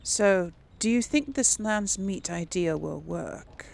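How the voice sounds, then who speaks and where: Slow, creaky-voiced woman playing games. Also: medium pitch, animated, a little mellow. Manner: hesitant, musing, thinking aloud. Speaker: gaming video host. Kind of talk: casual role-play reactions over a game.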